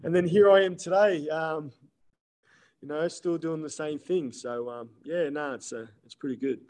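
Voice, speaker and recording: A man's voice: on the high side, moderate pace, darkish tone. Laid-back man in his thirties. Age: 30s. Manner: laid-back storytelling with frequent ums and laughs. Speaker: guest. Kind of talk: casual podcast chat.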